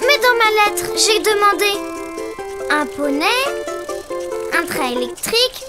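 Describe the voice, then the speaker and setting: A performer voicing an excitable, eager little girl in a children's cartoon, with a childlike manner and drawn-out exclamations. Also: very high-pitched, full character voice. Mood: thoughtful, wishful. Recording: loud music behind the voice.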